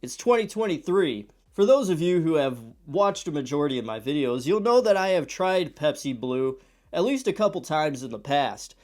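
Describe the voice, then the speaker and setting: A man's voice: fairly high pitch, normal speed. A man in his thirties, stuffed up from a cold, chatting casually through a soda review at his desk at home. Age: 30s. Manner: chatty and animated, acts out quoted voices. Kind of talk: casual product review vlog. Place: home room with shelves of soda cans. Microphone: camera at desk distance.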